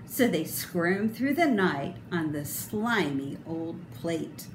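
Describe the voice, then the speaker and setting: Animated middle-aged woman reading to children. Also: lowish voice, slow pace. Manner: theatrical, emphatic, sing-song delivery. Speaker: storybook reader. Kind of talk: expressive children's read-aloud. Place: indoor room. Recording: roomy echo, clear background noise.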